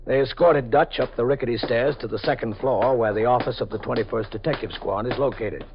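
A man narrating a 1950s radio police drama in a measured, matter-of-fact manner. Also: medium pitch, slight background noise. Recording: dull lo-fi old radio broadcast.

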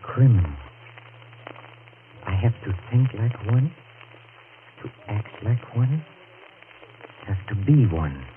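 A man's voice: fairly low pitch, big pitch swings, slow, long pauses. An actor playing a desperate man who has killed a rival, stammering and breaking into hysterical laughter, in a 1940s radio drama. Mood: rehearsing. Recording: tinny lo-fi 1940s radio broadcast with hiss.

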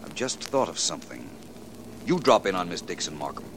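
Brief: a cool, urbane 1940s radio sleuth, lowish voice with lively inflection, old hissy broadcast. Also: male voice, fairly quick. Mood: calm. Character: suave confident male gentleman detective. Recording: old radio recording with faint hiss.

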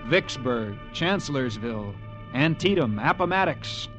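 A man's voice: medium pitch, expressive, a little slow, close to an everyday voice. Man acting earnest young cavalry lieutenant, old-time radio. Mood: bitter, scornful. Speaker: actor in a radio drama, also narrating in character. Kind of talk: 1940s-50s radio acting. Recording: old radio recording with music.

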